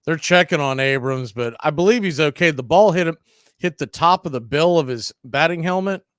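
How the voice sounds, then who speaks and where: A man calling a live baseball game on radio, chatting and telling side stories between pitches. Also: brisk, fairly bright tone, smooth, dry recording, clean studio sound. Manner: chatty, tells side stories and laughs at them. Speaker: radio play-by-play announcer. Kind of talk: live baseball play-by-play with casual chat.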